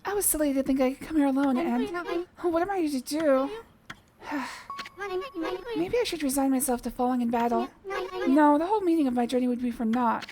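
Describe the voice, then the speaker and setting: A woman's voice: sits high, animated, normal speed, pauses often, crowd noise. A woman voicing a grieving young woman set on avenging her father, read plainly over game dialogue. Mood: earnest. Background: game music.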